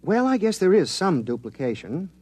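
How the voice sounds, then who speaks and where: An eager military radio decoder explaining his machines. The pitch swings freely as he talks, earnest and technical. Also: big pitch swings, normal speed, darkish tone, husky, exaggerated voice. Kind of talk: 1950s radio drama acting. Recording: vintage 1950s radio transcription.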